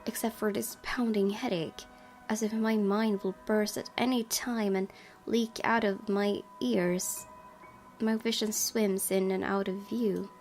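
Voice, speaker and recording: A woman's voice: medium pitch, fairly even intonation, measured pace, darkish tone. Woman narrating over background music. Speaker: gaming video host reading a visual novel aloud. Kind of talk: scripted first-person narration.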